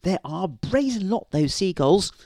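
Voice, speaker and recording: High feminine voice, caring storybook housewife, acted by a man, animated, dry sound. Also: a little slow, no echo, heavily acted. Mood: indignant. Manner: put-on feminine voice. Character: thoughtful housewife, a lighthouse keeper's consoling wife.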